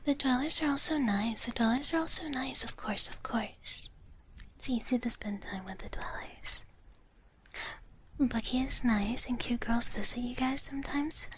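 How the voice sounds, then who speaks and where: Whispering woman, high-voiced ASMR streamer. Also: moderate pace. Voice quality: breathy whisper. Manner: gentle, playful, speaks of herself in third person as a dragon big sister character. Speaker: livestream host. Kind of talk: ASMR, softly whispered chat with viewers. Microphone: close ASMR microphone. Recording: close-up whisper with clear background noise.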